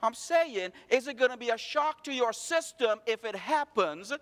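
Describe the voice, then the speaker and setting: A man's voice: extremely high pitch, expressive, normal speed, a bit bright, long reverb tail. A man preaching a sermon, calling out his congregation with rhetorical questions. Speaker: pastor.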